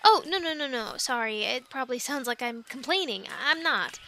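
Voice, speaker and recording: A woman playing a plucky young island castaway in an ASMR roleplay, chatting in an animated, halting, stammering way. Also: sits high, full character voice. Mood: awkward, apologetic. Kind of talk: ASMR roleplay, conversational one-sided dialogue.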